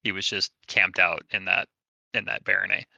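A man with a low voice and plenty of creak talks through his moves as a tabletop game player. He is casual and thinks aloud, often rephrasing, in a very bright, forceful tone. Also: level tone, moderate pace, no room sound, dull audio.

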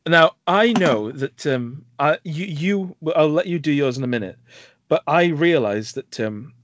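A man's voice: a little high, lively intonation, unhurried, no room sound. Welsh guy co-hosting a film podcast, rambly, lots of ums, kinda muffled dry audio. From Wales. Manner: chatty, hesitant, many fillers and restarts. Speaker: podcast co-host. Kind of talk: casual chat.

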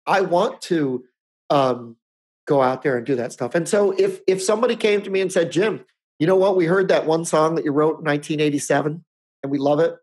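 Rambling man in his fifties, higher voice. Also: brisk, a little mellow, breathy edge. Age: 50s. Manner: rambling, restarts often, chuckles. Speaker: podcast co-host. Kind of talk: casual chat.

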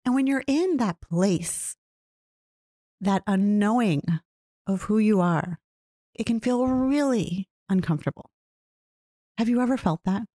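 A woman talking earnestly and reflectively about her own experiences, often putting questions to the listener. She describes her own voice as low. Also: warm tone, no echo, clean studio sound. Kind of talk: reflective personal talk.

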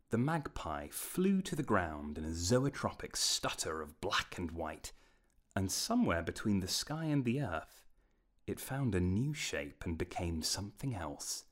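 A man narrates a fantasy tale in a scripted, storybook style. His voice sits very low, yet it moves through a lively range of intonation.

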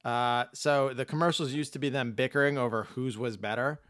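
A man's voice: medium pitch, steady tone, fairly quick, a bit bright, no room sound, studio quality. A laid-back man hosting a podcast, chatting casually and laughing as he rambles. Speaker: podcast host. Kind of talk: casual chat.

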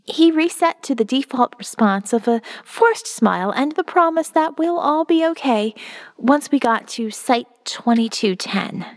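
Woman acting as wary female survivor, diary-style audio drama narration, slightly high voice, wry, observant, long reverberant tail. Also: animated, measured pace. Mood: uneasy, sober. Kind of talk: first-person audio novel narration.

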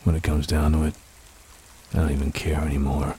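A man playing a world-weary, drunken, nihilistic film noir detective in a brooding, deadpan radio monologue. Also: a bass voice. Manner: slow, brooding, deadpan narration. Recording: noisy, rain sound behind. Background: rain.

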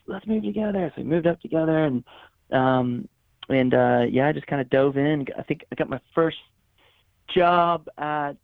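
A man's voice: medium pitch, vocal fry, no room sound. A man who grew up in Florida, a songwriter and producer, chatting casually as an interview guest and rambling with many restarts and easy laughs. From Florida, USA. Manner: rambling, self-correcting, laughs easily. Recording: dry, phone-like line.

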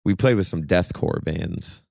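A man with a very deep voice chats on a podcast, animated and quick to curse or laugh at himself. The sound is dry and close. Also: vocal fry, no echo. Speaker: podcast co-host and touring musician. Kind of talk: casual chat.

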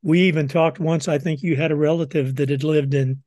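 Host: American man, slightly high register, asks conversational questions, very dry recording without room echo. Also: fairly even intonation, a little fast, a little mellow. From Southern Illinois, United States. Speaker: interviewer, host. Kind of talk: conversational interviewing.

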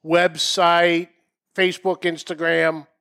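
A man's voice: fairly high pitch, little pitch movement, takes it slowly, gravelly, bright, forceful tone, clean tone. A man with a Boston accent hosting a show in a casual, enthusiastic conversational style. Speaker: show host.

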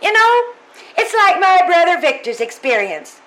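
A woman's voice: very high-pitched, sing-song, moderate pace, fairly bright tone, exaggerated voice, low-quality recording. A woman playing a chirpy, motherly, scatterbrained landlady in an old-time radio comedy, fussy and rambling. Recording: faint noise, live stage performance.

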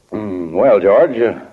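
Flustered folksy father, lively intonation. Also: on the low side, husky, heavily acted. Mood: awkward, hesitant. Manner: hesitant, folksy, fumbling for words. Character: kindly, flustered middle-aged small-town father of the bride. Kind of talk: 1940s radio drama acting. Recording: dull, lo-fi 1940 radio recording.

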